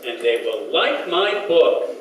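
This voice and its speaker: A man's voice: a little high, very animated. A man playing a simple-minded, earnestly literal children's author in an old-time radio comedy sketch, talking in stilted little primer sentences. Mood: earnest, childlike. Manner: slow, stilted delivery in short primer-like sentences. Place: community hall stage. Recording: echoey hall, distant mic, faint noise.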